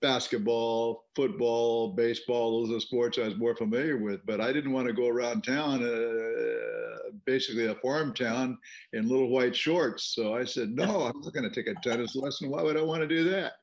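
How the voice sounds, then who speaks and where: A man's voice: medium pitch, moderate pace, vocal fry, lo-fi sound. Older man telling folksy stories. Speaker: retired tennis coach, guest. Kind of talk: casual interview, storytelling.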